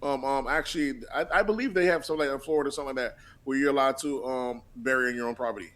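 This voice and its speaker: A man in relaxed podcast chat with a middle-range voice. He talks loosely and profanely, often restarting sentences mid-thought as he jokes with friends. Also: medium pitch, very fast. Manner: loose, laughing, swearing banter with frequent restarts. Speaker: podcast co-host. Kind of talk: casual chat.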